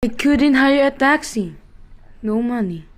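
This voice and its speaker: A woman's voice: on the high side, expressive, unhurried. A woman playing a casual, matter-of-fact son in an amateur radio play.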